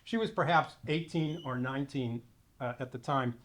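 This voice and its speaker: A man's voice: mid-range voice, normal speed. A man in his seventies from Boston gives an informal memorial tribute, telling stories conversationally and checking in with his listeners. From Boston, United States. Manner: conversational, storytelling with rhetorical check-ins. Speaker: speaker giving a memorial tribute to his sister. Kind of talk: informal eulogy speech.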